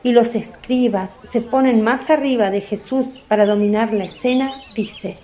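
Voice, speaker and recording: A woman's voice: a little high, normal speed, dark tone, a little hiss or hum. A woman narrating a scripted religious radio drama as a storyteller.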